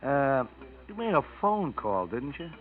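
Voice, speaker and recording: A man's voice: medium pitch, sing-song, unhurried. An actor playing a chatty, friendly coffee shop counterman with casual, slangy talk in a 1940s radio drama. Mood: confiding. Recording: thin, phone-like old radio recording.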